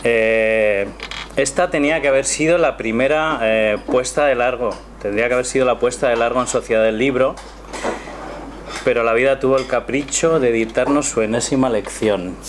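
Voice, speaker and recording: A man giving a measured, reflective presentation of his book before an audience in a small cultural café. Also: medium pitch. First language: not Catalan. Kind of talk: prepared presentation speech with poetry reading. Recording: noisy room ambience.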